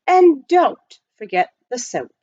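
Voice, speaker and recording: Woman voicing a proper butler, animated pitch. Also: slow, dry recording, dull audio, full character voice. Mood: composed, optimistic. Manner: formal, precise, reassuring. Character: proper, unflappable male English butler.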